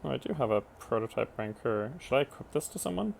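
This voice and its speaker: A man's voice: mid-range voice, expressive, fast talker, vocal fry, slight background noise. A man doing casual live gameplay commentary, thinking aloud in a wry, mildly exasperated way. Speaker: game streamer. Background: game music.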